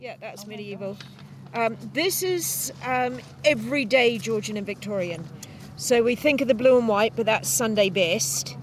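High-pitched woman guide, outdoor field recording. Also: expressive, a little slow, slight background noise, poor audio. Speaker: archaeologist leading a tour. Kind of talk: informal explanation to a group. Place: outdoors on a river shore.

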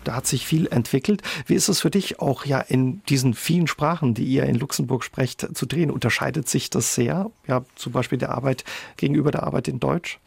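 A man hosts an interview, asking his guests casual, conversational questions. His voice sounds gravelly and husky. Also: mid-range voice, brisk, no echo. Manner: conversational, informal address.

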